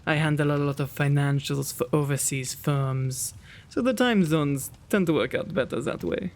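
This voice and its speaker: A man playing a kind, courtly, old-world gentleman vampire in an intimate ASMR roleplay, with genteel, old-fashioned phrasing and bashful stammers. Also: on the high side, normal speed, vocal fry, slight background noise. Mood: kind, soothing. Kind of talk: ASMR roleplay, intimate one-sided dialogue.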